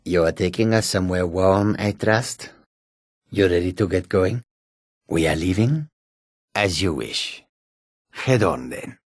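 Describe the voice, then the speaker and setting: An actor playing a sly, menacing, proud humanoid cat warrior in a fantasy video game, with a husky, raspy voice and deliberate delivery. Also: male voice, low voice, lively intonation, no echo, clean studio sound, heavily acted. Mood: loyal, dutiful. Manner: slow, deliberate, often speaks of himself in third person.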